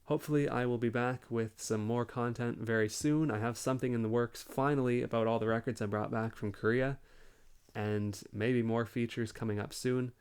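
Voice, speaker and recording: A man presenting as a collector in an informative explainer style, measured and articulate. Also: medium pitch, fairly even intonation, darkish tone, clean tone. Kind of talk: informative explainer to camera or over shown records.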